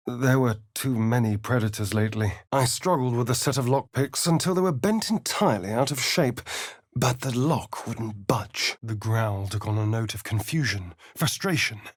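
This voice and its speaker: An actor playing a sly, vain vampire rogue in a video game, with an arch, sardonic, flamboyant delivery. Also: male voice, mid-range voice, dry recording, clean studio sound, natural voice. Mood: tense, theatrical. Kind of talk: video game voice acting, dramatic lines and narration.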